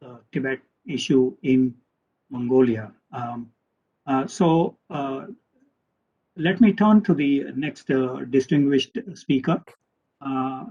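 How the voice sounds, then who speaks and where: A male moderator leads a panel, politely and haltingly, filling nearly every gap with hesitation sounds. His voice sits at an ordinary male pitch and arrives muffled over lo-fi call audio. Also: dark tone. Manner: hesitant, heavy use of fillers, polite.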